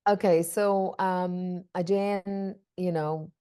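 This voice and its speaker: Low-voiced Indian woman, chatty. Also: fairly even intonation, slow, dark tone, clear, resonant tone. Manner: chatty, leans on "you know" fillers, laughs easily. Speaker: oral history interviewee. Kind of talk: casual storytelling.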